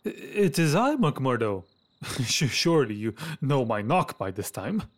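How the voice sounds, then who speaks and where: A man playing a fussy, anxious Victorian gentleman in an audio drama, hesitant and stammering as he talks. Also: mid-range voice, sing-song, a little slow. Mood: flustered, indignant.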